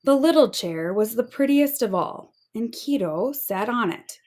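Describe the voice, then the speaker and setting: A woman narrating a children's storybook aloud in an expressive storytelling manner. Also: fairly high pitch, measured pace. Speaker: storybook reader.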